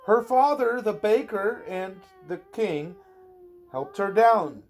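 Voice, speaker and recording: Slow, lilting dad reading a storybook. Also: male voice, sits high, animated. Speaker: parent reading to a child. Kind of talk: storybook read-aloud to a child.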